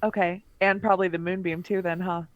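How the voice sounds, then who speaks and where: A woman's voice: on the low side, level tone, a little fast, no echo, dull audio. Casual woman at game, dry sound. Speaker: player. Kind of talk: casual tabletop game play.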